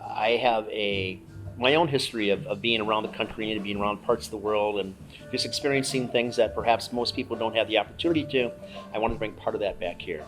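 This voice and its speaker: Middle-aged man, bar host, low voice, measured, dry humor, faint music behind. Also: fairly bright tone. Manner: measured, dryly joking. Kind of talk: casual chat.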